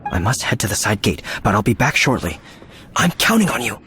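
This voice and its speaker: An actor playing a nervous, earnest knight in a video game, stammering yet formal and polite, with music behind him. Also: male voice, on the low side, big pitch swings, a little fast, sharp tone, slight background noise. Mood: resolute. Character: flustered, well-mannered male knight, nervous and earnest. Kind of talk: video game character acting.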